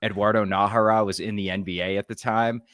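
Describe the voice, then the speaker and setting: Deep-voiced laid-back guy, dry sound. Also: fairly even intonation, fast, fairly bright tone, no echo. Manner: laid-back, laughs often, says "man" and "dude". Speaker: fan channel co-host. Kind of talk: casual live draft reaction chat.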